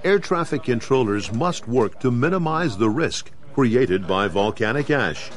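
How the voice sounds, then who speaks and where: A man with an American accent gives measured, formal, instructional narration in the style of a scripted training video. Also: mid-range voice, lively intonation. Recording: 1990s videotape with clear background noise.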